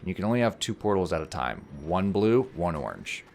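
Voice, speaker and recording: Low-voiced man commentating gameplay. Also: very animated, brisk, vocal fry, darkish tone, a little hiss or hum. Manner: explains puzzles step by step, joking. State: a little hoarse. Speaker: let's play commentator.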